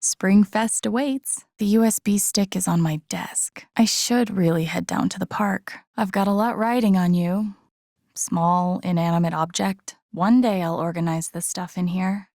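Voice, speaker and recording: A woman's voice: medium pitch, steady tone, unhurried, darkish tone, no room sound, clean studio sound, natural voice. An actress playing an empathetic young woman in a video game, thinking aloud in a casual, wry, understated way. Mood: casual, musing. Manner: casual, wry, muttering to herself. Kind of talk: understated video game voice acting, interior monologue.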